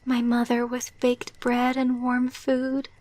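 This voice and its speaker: A woman playing a gentle, wistful vampire girlfriend in an ASMR roleplay, speaking softly and tenderly. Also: a little high, completely flat delivery, no room sound. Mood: wistful, nostalgic. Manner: soft, slow, tender, wistful delivery.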